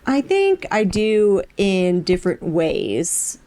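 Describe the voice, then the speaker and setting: A woman's voice: on the low side, wildly swooping pitch, takes it slowly, warm tone. A young adult woman co-hosting a podcast, talking in a casual but analytical, thoughtfully academic way. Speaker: podcast co-host.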